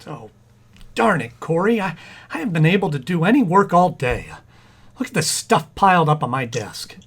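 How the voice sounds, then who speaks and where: A man acting a doting, excitable, stammering husband in a 1940s-style radio comedy. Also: on the high side, normal speed, breathy edge, soft background music, full character voice. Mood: harried.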